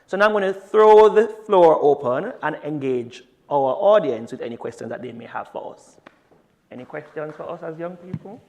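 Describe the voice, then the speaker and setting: A man's voice: fairly high pitch, lively intonation, moderate pace, dark tone. A man moderating a conference panel, summing up points and inviting questions in an encouraging, courteous way.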